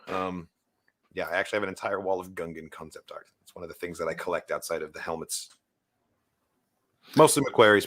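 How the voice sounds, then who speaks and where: Host: New Zealand man, lowish bright voice, upbeat casual fan chat. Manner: enthusiastic, laughs often, fillers. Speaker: podcast host.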